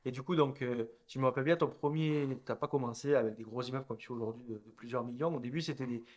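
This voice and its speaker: A young man hosting an interview, asking questions briskly with many filler hesitations. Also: medium pitch. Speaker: interviewer, host. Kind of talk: interview host.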